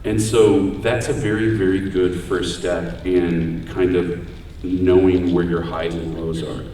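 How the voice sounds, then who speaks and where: A man with a deep voice giving a casual, joking talk about voice acting on a convention panel. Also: flat delivery, takes it slowly, mellow, a little hiss or hum. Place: large convention hall room. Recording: echoey hall, voice far from mic.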